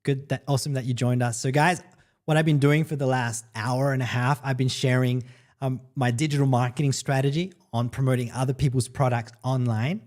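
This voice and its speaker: An upbeat middle-aged man from El Salvador talks straight to his livestream viewers as a marketing coach. His voice sits at an ordinary middle pitch, and he keeps the chat loose with "right?" and "guys". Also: steady tone, fairly quick, a little mellow. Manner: upbeat and chatty, peppering lines with "right?" and "guys". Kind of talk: casual livestream talk to viewers.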